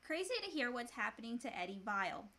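Higher-voiced woman co-hosting casually. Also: very animated, quick pace, bright, forceful tone. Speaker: co-host reading fan questions. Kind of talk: casual chat, reading questions aloud. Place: small room indoors, on a couch.

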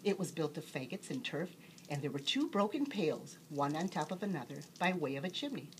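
A woman reading a children's bedtime story aloud as an expressive storyteller. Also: sits low, brisk, a little hiss or hum.

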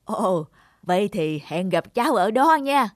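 An actress playing a kind, worried elderly grandmother in a cartoon, speaking gently and plaintively. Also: mid-range voice, big pitch swings, unhurried. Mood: friendly. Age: older. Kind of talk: animated moral tale voice acting. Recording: music playing behind the voice.

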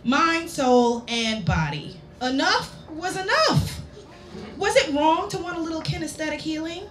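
A woman author reading a story aloud to a live audience, playful and theatrical, with dramatic pauses. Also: sits high, expressive, fairly bright tone. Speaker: author reading own work. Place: indoor lounge at a public reading event. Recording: roomy live venue recording with background noise.

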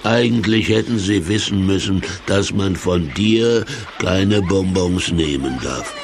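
A man's voice: sits low, slow pace, a little hiss or hum. A man playing a villain's patient, nagging sidekick in a children's audio play, insistent and coaxing. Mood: reproachful, patient.

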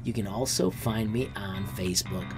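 A man's voice: lowish voice, moderate pace, gravelly, music in the background. A man in his thirties, a teacher hosting his own channel, giving an enthusiastic screen-share update and calling viewers friends. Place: indoor room.

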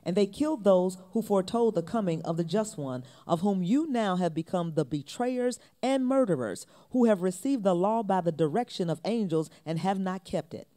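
A woman reading scripture aloud in a measured, fluent way. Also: medium pitch, animated, warm tone.

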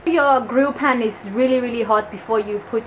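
Young female vlogger, highish voice, enthusiastic casual cooking commentary, kitchen noise behind. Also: moderate pace. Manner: enthusiastic, chatty. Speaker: vlog host. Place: small home kitchen, indoors. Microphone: camera microphone. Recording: clear kitchen noise, camera mic. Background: kitchen cooking ambience.

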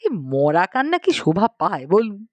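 A woman voicing a village uncle in a storybook reading, smooth-talking, chiding and persuasive in colloquial speech. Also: mid-range voice, very animated, moderate pace, a little mellow. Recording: muffled lo-fi, roomy echo.

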